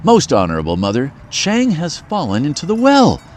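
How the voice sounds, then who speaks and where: A man's voice: a little high, huge pitch swings, normal speed, darkish tone, a little hiss or hum. A man voicing an eager, polite little boy delivering urgent news in a storybook.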